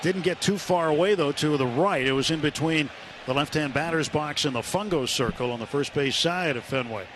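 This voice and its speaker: Guy doing baseball radio play by play, voice a bit high, clipped calls, lots of ballpark noise behind. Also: brisk. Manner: clipped descriptive phrasing, lifting into excited calls. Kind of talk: live baseball play-by-play commentary. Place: ballpark broadcast booth. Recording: radio broadcast with loud ballpark crowd noise.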